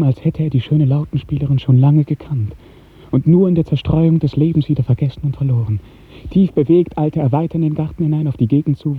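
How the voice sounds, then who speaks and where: A man narrating a literary 1950s radio drama in a measured, poetic storytelling manner. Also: mid-range voice, husky. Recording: muffled narrow 1950s radio recording.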